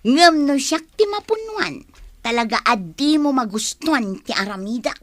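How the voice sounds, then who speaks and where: An actress playing an offended daycare teacher who stands up for herself in an Ilocano radio drama. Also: female voice, high-pitched, lively intonation, normal speed, no room sound, studio quality. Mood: indignant. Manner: indignant, confrontational.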